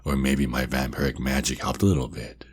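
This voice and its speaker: Man voicing a very deep, husky vampire kidnapper. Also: level tone. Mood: smug, soothing menace. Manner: slow, drawn-out, mocking menace. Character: taunting, sinister centuries-old male vampire kidnapper. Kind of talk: ASMR roleplay monologue.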